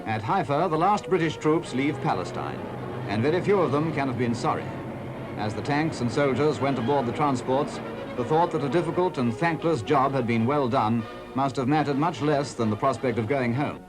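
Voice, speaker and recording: A man narrates an old newsreel with a formal, declamatory delivery at a mid pitch. Loud orchestral music plays under his voice throughout. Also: moderate pace, rough recording.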